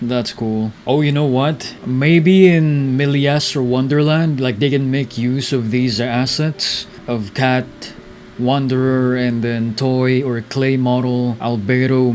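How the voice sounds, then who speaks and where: A man's voice: medium pitch, darkish tone, a little hiss or hum. A young man giving casual, laid-back game playthrough commentary in a musing way. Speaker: game streamer.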